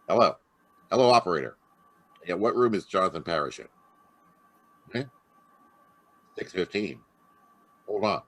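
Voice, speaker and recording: An actor playing a blustering police inspector with a blunt delivery in a live radio drama. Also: male voice, low voice, lively intonation, unhurried, pauses often, fairly bright tone, husky, exaggerated voice. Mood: gruff. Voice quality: brash, blunt American delivery. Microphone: video call.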